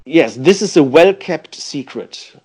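A man, a youth football coach, answering interview questions in halting English with many pauses. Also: a little high, animated, darkish tone, husky, low-quality recording.

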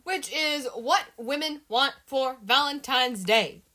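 A woman co-hosting a podcast with a bright, forward tone and a higher pitch. She is playful and keeps the conversation moving from topic to topic. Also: slow pace. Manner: playful, steers the talk. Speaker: podcast co-host. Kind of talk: casual chat.

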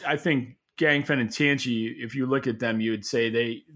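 A man's voice: mid-range voice, steady tone, normal speed, fairly bright tone, a little hiss or hum. A man co-hosting a podcast as an industry analyst, giving unscripted, opinionated explanations and often rephrasing mid-sentence. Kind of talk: unscripted expert market commentary.

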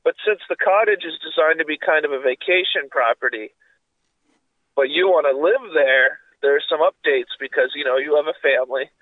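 A man's voice: on the high side, normal speed, no echo. Congested man on a tinny line. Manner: rambling, profane, full of fillers. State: congested with a cough and sore throat. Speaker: podcast co-host. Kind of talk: casual chat.

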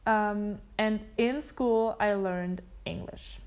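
A woman's voice: mid-range voice, slow pace, a little mellow, clear, resonant tone. A young woman from Germany chatting casually with a friend, laughing easily and restarting her sentences. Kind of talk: casual chat between friends.

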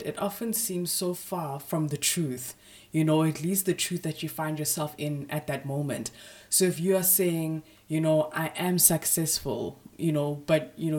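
A woman hosting her own podcast, talking casually with a slightly raspy voice from a sore throat, filling her speech with "you know" and "um". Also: deep voice, a little mellow. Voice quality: husky, raspy. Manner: chatty, frequent "you know" fillers. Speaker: podcast host. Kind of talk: casual solo podcast talk.

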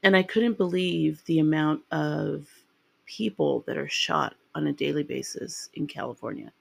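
Woman podcast host, sick and raspy, rambling casual delivery, dry close mic. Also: sits low, normal speed, no echo. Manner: rambling and chatty, with ums and restarts. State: raspy from a sinus infection. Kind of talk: casual true-crime podcast narration.

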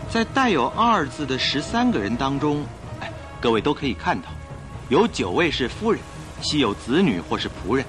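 An actor playing a confident, theatrical gentleman amateur detective in a radio drama, explaining things emphatically. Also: mid-range voice, big pitch swings, moderate pace, over music, close to an everyday voice. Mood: deducing.

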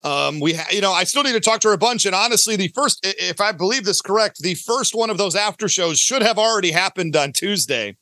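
A man's voice: sits high, animated, fast, hardly any pauses, pushed and bright, no echo. A man talking casually as an interview guest about his creative work, talkative and often correcting himself mid-thought. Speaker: guest, audio drama creator.

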